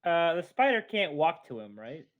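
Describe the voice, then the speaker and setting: A man's voice: on the high side, very animated, moderate pace. A man chatting casually as a player in an online tabletop game. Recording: dull, muffled online call audio.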